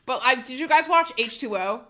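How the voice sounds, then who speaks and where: A woman's voice: high-pitched, expressive, a little fast, bright, forceful tone. A woman livestreamer chatting casually with her audience, chatty and self-deprecating, often laughing and reading chat aloud.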